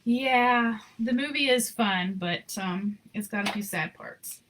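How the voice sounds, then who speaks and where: A woman's voice: a little high, slow pace, fairly bright tone, a little hiss or hum, low-quality recording. A woman reading a children's picture book aloud with dramatic, emphatic phrasing. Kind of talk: expressive children's read-aloud with casual asides.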